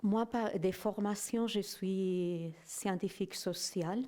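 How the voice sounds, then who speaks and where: A woman giving a personal testimony in halting, non-native French, often searching for words and checking in with "non?". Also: medium pitch, mellow. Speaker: guest speaker giving a testimony. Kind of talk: slow reflective personal testimony.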